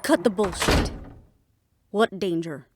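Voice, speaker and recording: A woman's voice: medium pitch, slow pace, very noisy, lightly acted. A woman playing a defiant, fiercely independent half-fae daughter, blunt and sarcastic, in a fantasy audio drama. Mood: cold anger. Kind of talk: fantasy audio roleplay acting.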